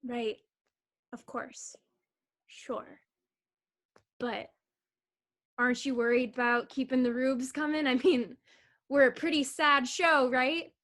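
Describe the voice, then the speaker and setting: A woman's voice: sits high, steady tone, takes it slowly, pauses often, fairly bright tone. A woman playing a brash, mocking circus juggler in a staged play reading, with slangy teasing talk. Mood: needling. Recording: video call.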